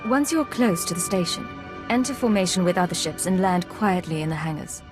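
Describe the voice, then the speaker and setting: An actress plays an imperial secret agent in a sci-fi game. Her voice sits a little low, cool and controlled with dry understatement, over faint music. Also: fairly quick, close to an everyday voice. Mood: businesslike. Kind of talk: video game voice acting.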